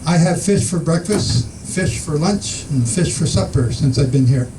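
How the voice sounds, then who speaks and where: A man's voice: on the high side, lively intonation, measured pace, recorded from a distance, background noise. A man teaching an informal Bible study to a small group, reading scripture aloud and asking his listeners questions. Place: room with a small group in the Philippines.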